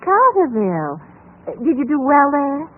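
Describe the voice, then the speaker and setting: Polite, curious housewife in a radio melodrama, very melodic, on a narrow vintage broadcast. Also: female voice, sits high, big pitch swings, normal speed. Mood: courteous, curious. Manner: genteel, courteous. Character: nervous, hospitable housewife, courteous and curious. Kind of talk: 1950s radio acting. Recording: noisy, tinny 1950s radio recording.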